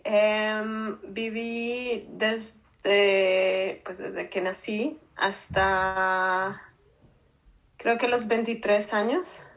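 Guest, woman in her 30s, Colombian accent, measured and slow, hesitant with fillers. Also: mid-range voice, steady tone, low-quality recording. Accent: Colombian Spanish, Bogotá. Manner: thoughtful, hesitant with many fillers. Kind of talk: casual interview answers.

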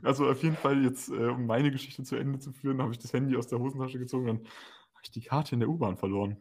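A man's voice: medium pitch, steady tone, fast talker, a little mellow. A young man co-hosting a podcast, chatting casually in an informal, slangy way. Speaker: podcast co-host. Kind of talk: casual chat.

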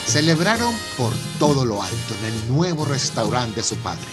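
A man's voice: medium pitch, sing-song, moderate pace, over music, natural voice. A man with a Venezuelan accent narrating a melodramatic radio soap in a theatrical storytelling manner. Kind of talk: scripted radio drama narration.

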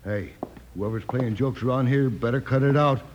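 A man's voice: medium pitch, moderate pace, a little mellow, natural voice. An actor playing a jumpy, grumbling American outlaw henchman in an old-time radio western. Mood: jumpy, indignant. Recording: dull, noisy old radio recording.

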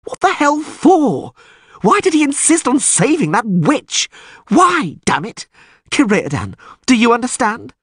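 A man voicing an anxious, excitable male bard in an audiobook, full of demanding, pleading exclamations. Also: fairly high pitch, slow pace, husky, dry recording, clean studio sound, voice pushed up, heavily acted. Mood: distressed. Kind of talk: audiobook character voice.

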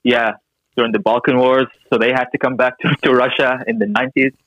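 A man's voice: medium pitch, flat delivery, brisk, a bit bright, dry recording, highs cut off. Podcast guest: young man from Syria, dry wit, very close dry sound. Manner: dry, joking storyteller. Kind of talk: casual chat.

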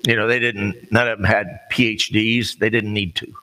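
An American man preaching a sermon in a folksy, conversational storytelling way, plain-spoken and pausing deliberately. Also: lowish voice, little pitch movement, bright, forceful tone. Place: large reverberant room, preaching to a congregation. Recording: long hall reverb.

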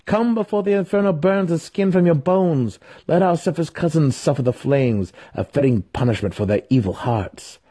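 Man voicing an imperious dark elf priestess, raised pitch. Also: normal speed, few pauses, slightly rough. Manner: imperious, declamatory. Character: commanding female dark elf cleric, grim and fanatical. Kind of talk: dramatic fantasy audiobook character.

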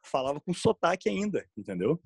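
A man jumps into a casual podcast chat with a fairly high, lively voice. His tone is husky. The sound is close and dry, with no room around it. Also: dry recording. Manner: chatty, quick to agree and interject.

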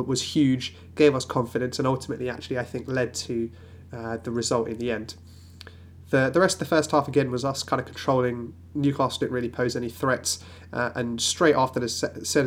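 A man who has a cold and sounds nasal and snivelly. He hosts a solo football podcast and rambles along with restarts and fillers. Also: mid-range voice. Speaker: podcast host.

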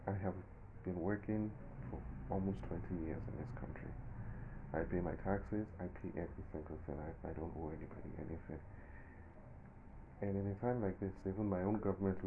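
A man's voice: sits low, phone-quality sound, a little hiss or hum. A man in his forties with a Nigerian accent, giving his own emphatic, indignant opinion in a monologue. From southern Nigeria. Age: 40s. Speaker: commentator.

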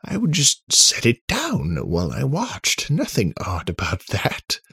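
A man voicing an awkward, defensive male inventor in a story, with a halting, stammering delivery. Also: mid-range voice, wildly swooping pitch, a bit bright, husky, dry recording, studio quality, pitched above the performer's own voice, exaggerated voice. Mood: sheepish, defensive.

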